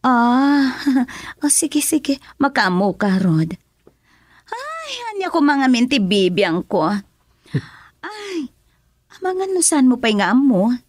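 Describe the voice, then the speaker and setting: Give the radio drama a scheming, teasing woman with a Filipino accent. She talks slowly in a fairly high voice that rises and dips a lot. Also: big pitch swings, dry recording, full character voice.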